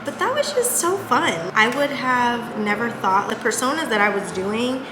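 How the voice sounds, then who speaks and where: A young woman vlogging to her viewers in a casual, chatty, upbeat way, with a soft, girlish voice. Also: fairly high pitch, very animated, normal speed. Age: young adult. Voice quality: says she has a baby voice. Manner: chatty and upbeat, many fillers like "like". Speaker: lifestyle vlogger. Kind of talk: casual vlog chat to camera. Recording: echoey room with loud background noise.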